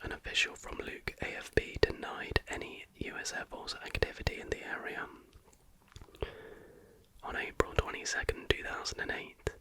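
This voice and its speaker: An ASMR host reading factual material aloud in a breathy, unvoiced whisper with a measured, hushed delivery. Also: poor audio.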